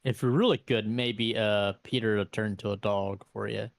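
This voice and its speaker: Man playing a low-voiced, matter-of-fact vampire packmate. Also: steady tone, vocal fry, no room sound, studio quality. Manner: casual, halting explanations.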